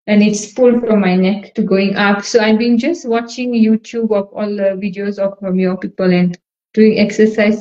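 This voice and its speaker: A middle-aged woman from India, a caller on a live video chat, talking haltingly with many fillers. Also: mid-range voice, a little mellow, dull audio. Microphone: phone camera in a video call.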